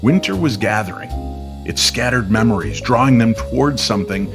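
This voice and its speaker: A man narrating an eerie, nostalgic winter-evening style story in a measured, dramatic way. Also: lowish voice, animated, gravelly. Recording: music under the voice.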